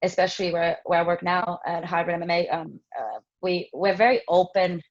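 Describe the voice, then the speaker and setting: A woman in her thirties from Finland, answering interview questions casually, with many pauses, ums and restarts. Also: fairly low pitch, fairly even intonation, dull audio. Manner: hesitant, many ums and restarts, laughs often. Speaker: fitness trainer interviewee.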